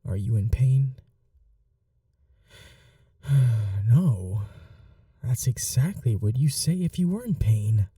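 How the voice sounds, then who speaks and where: A woman playing a possessive, wounded vampire mistress in an intimate ASMR roleplay, speaking softly and breathily, often in whispers, with slow, tender coaxing. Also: very deep voice, lively intonation, husky, no echo, studio quality, distant mic, full character voice. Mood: remorseful, tender.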